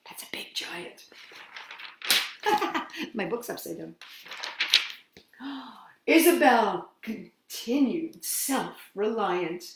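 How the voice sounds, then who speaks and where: A woman reads a picture book to young children, her voice rising and falling widely as she leans into the drama of each line. She takes her time, lingering on words and pausing for effect. The room around her rings with a noticeable echo. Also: fairly high pitch, background noise. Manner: expressive, sing-song storytelling with dramatic pauses. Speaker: children's storytime host. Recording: roomy echo.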